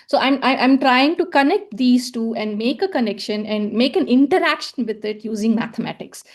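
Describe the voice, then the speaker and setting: Woman presenter, fairly high pitch, hesitant and repetitive, lecture tone. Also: expressive, moderate pace. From South India. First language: Tamil. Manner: hesitant, many fillers and repeated words. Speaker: conference presenter. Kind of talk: academic presentation with slides.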